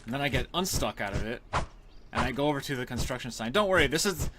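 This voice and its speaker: A man with a mid-range voice commentates casually on his live speedrun. He is joking and tongue-in-cheek, with clear noise and clicking from the game behind him. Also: medium pitch, animated, quick pace. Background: game sounds and clicks.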